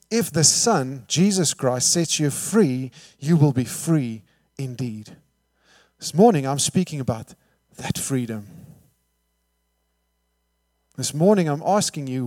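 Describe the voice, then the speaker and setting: A man's voice: a little high, lively intonation, measured pace, no echo. Emphatic preacher man. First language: Afrikaans. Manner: emphatic, rhetorical questions, swings from hushed to loud. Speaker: pastor. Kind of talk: sermon.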